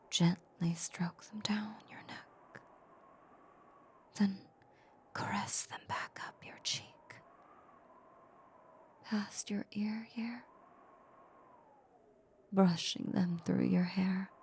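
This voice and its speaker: Slow, whispery seductive vampire woman, acted by a woman. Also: medium pitch, full character voice. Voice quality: soft, breathy, whispery. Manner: slow, lingering, teasing. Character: seductive, possessive female vampire, dark and doting. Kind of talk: breathy ASMR roleplay, intimate whispering. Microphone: close microphone.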